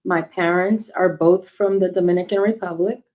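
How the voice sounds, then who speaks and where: A woman in her forties, originally from New York, speaks as a guest on a casual podcast. Her voice sits a little low, and she talks in a calm, measured way, laying out her thoughts in steady statements. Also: highs cut off. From New York City, raised in northern New Jersey. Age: 40s.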